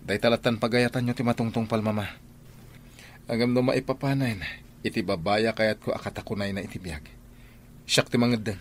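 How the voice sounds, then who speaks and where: A man voices a young son in an Ilocano radio play who stands up to his mother. His voice sits low and stays firm and earnest. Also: steady tone, fairly quick, long pauses, a little hiss or hum, lightly acted. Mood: defiant. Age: young adult. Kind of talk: radio drama acting.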